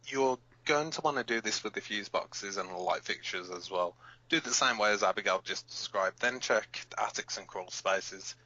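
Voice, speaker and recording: Man playing a forceful, blunt resistance radio fighter. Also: lowish voice, normal speed, no echo, muffled recording. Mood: instructive. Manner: blunt, sweary, emphatic. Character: hot-headed, protective male alien-war resistance fighter hosting rebel radio. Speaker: radio broadcast host. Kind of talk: amateur audio drama as underground radio broadcast.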